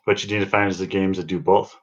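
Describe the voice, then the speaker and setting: A man's voice: sits low, fairly even intonation, fast talker. A man co-hosting a podcast in casual conversation about video games, heard through a lo-fi microphone. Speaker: podcast co-host. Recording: dull, lo-fi microphone sound.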